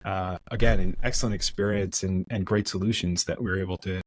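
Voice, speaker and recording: Man, American accent, middle-aged, low voice, dry close sound, relaxed storytelling guest. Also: a little fast, no room sound. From United States. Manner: relaxed storyteller, frequent restarts. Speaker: podcast guest. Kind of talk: casual interview chat.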